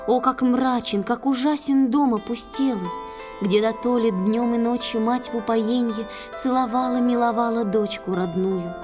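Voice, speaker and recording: A woman performing in a children's audio play, reciting verse lyrically and expressively with drawn-out phrases. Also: a little high, steady tone, over music, lightly acted.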